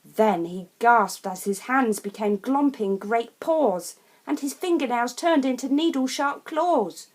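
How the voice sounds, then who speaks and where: A woman reads a rhyming children's picture book in a theatrical, deliberate and emphatic way. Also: on the high side. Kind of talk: expressive rhyming storybook narration.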